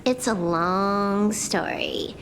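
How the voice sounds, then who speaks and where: A woman voicing a wry, teasing young female hacker in a game, speaking in an unhurried, dry manner. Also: medium pitch, fairly bright tone, slight background noise. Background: game music.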